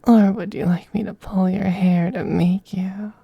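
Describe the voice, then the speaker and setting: A performer voicing a seductive, possessive male femboy vampire in an intimate ASMR roleplay, whispering softly and coaxing in a teasing, gently domineering way. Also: medium pitch, steady tone, measured pace, no room sound, highs cut off, full character voice. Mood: seductive, commanding. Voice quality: breathy, soft whispering.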